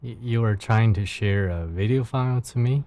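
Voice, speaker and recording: A man from China with a Chinese accent, a Mandarin speaker talking in English, chatting casually and haltingly with viewers on a live stream in a soft, soothing voice. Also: on the low side. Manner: unhurried, halting, with fillers and restarts.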